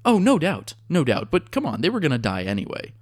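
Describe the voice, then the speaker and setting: A scrappy, short-tempered gnome woman, as a male narrator puts her on, in a voice nudged a little higher than his own. She speaks bluntly and sarcastically, tough and unsentimental, in a spoken fantasy storybook. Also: huge pitch swings, brisk, dry recording, clean studio sound. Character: fierce, foul-mouthed female gnome adventurer.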